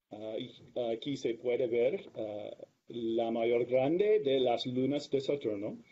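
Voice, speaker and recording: A middle-aged man whose first language is English, speaking Spanish with an American accent as a guest lecturer on a video call, slow and careful, with many hesitations. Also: medium pitch, dark tone. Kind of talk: academic talk over video call. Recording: dull lo-fi video call audio.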